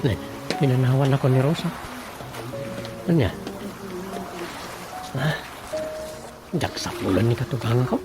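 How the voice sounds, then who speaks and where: Over a loud music bed comes an actor as a male village storekeeper with an Ilocano accent. He speaks colloquially and inquisitively at a medium pitch, in radio drama comedy style. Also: animated, a little slow, pauses often, lightly acted. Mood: grumbling.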